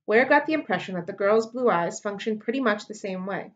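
A woman in her thirties reading a children's novel aloud at home into a webcam, in steady storybook narration. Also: medium pitch, a little fast, dull audio. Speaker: library read-aloud host. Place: living room at home. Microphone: webcam on a desk.

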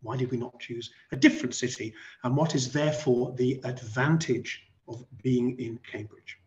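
A middle-aged man from West Wales presents as the head of a college. He explains point by point, setting up rhetorical questions and stressing key phrases by repeating them. His voice sits at an ordinary male pitch, heard through slightly dull, lo-fi video call audio. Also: fairly even intonation, normal speed, a little mellow. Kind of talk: online presentation to prospective families.

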